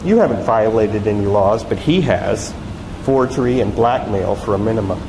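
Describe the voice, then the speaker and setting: Man reading as a brisk woman reporter, echoey hall. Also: lowish voice, expressive. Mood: brisk, frank. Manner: brisk, wry. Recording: echoey hall with loud background noise.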